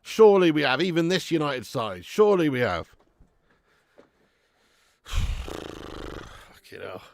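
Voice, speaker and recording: A man hosting a live fan watch-along of a football match at home, chatting to viewers with sweary banter and bursting into loud cheering at chances. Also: a little high, very animated, slow pace, creaky, slight background noise. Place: at home in front of a camera.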